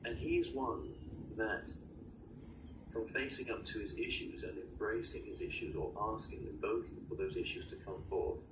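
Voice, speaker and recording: A man delivering a spiritual channeling monologue as a guide, in halting, oracular short phrases with many pauses. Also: on the low side. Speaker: channeled guide speaking in a session. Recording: roomy, distant mic with clear noise.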